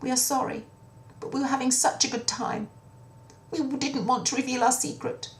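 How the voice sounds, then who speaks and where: A woman's voice: fairly even intonation, normal speed, reverberant, close to an everyday voice. A woman voicing a young princess, a petulant and protesting daughter in a children's fairy tale. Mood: pleading. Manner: petulant, high-pitched protesting.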